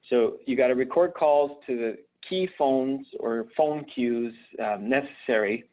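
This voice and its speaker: A man gives an instructional live webinar presentation, explaining things conversationally and haltingly, often hesitating and repeating words. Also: mid-range voice. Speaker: presenter at a virtual conference. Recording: narrow, phone-like online call audio.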